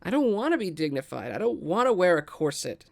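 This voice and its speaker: A man's voice: high voice, big pitch swings, a little fast, gravelly, dry recording, studio quality, pitched above the performer's own voice, exaggerated voice. A man voicing a spirited, defiant young girl who longs for adventure in a script table read, earnest and pleading. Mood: defiant longing.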